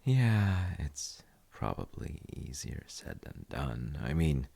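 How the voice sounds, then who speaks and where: A man playing a gentle, thoughtful dad in an intimate audio roleplay, speaking hesitantly and softly with a gravelly, husky voice. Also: extremely low pitch, very animated, slow, exaggerated voice. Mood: reflective, gentle. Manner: hesitant, musing, soft-spoken. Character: gentle, thoughtful dad comforting his child. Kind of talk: intimate audio roleplay, slow bedtime chat.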